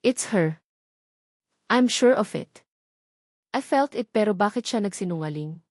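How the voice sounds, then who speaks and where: A woman's voice: medium pitch, lively intonation, a little slow, darkish tone, no echo, clean studio sound. A woman narrator playing a determined, wealthy businessman in an audio romance story, hesitant yet insistent.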